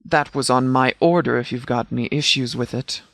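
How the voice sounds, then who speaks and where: A man voicing a composed video game law officer who speaks formally and precisely, with a dry, deadpan manner. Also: mid-range voice, normal speed, vocal fry, dry recording. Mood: dry, assured. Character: composed, formal male sheriff's department commander, strategic and dry. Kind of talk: video game dialogue voice lines.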